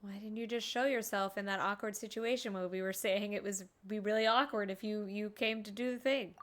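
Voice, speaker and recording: A woman's voice: medium pitch, fairly even intonation, a little fast. A woman streaming a game and reacting to it in casual commentary.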